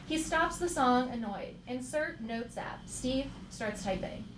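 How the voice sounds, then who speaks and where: A woman's voice: high voice, lively intonation, a little slow. A woman narrating the stage directions of a live comedy table read. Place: theater stage, live show. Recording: echoey live theater, noisy room.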